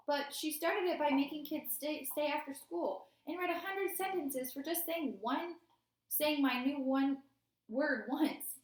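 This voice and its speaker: A woman's voice: fairly high pitch, pitched above the performer's own voice, lightly acted. Woman playing an insistent schoolboy, echoey room. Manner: pleading, insistent. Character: earnest, defensive fifth-grade boy in a storybook. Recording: roomy, echoey indoor sound.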